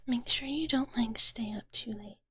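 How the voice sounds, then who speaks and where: A woman whispering softly and breathily in a gentle, doting big-sister manner, chatting as an ASMR livestreamer close to the microphone and often repeating her words. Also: on the high side, moderate pace, breathy edge. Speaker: ASMR streamer. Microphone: close microphone, dry sound.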